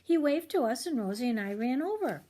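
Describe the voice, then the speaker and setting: A woman's voice: high voice, big pitch swings, fairly quick, dark tone. An older woman reading a children's chapter book aloud as a storyteller, expressively acting out the dialogue.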